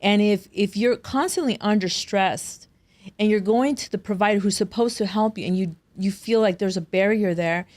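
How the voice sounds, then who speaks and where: A woman's voice: medium pitch, moderate pace, darkish tone. Emphatic woman podcast host. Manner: emphatic, affirming, speaks to the audience. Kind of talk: conversational interview.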